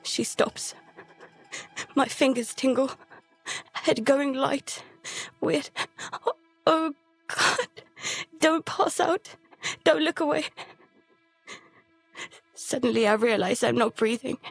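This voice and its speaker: Casting: scared girl narrator for horror audio drama, higher voice, halting, fearful, gravelly fry at the edges. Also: no echo, heavily acted. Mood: panicked. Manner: halting, fragmented, hushed dread breaking into pleading. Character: terrified girl narrating survival of an infected outbreak.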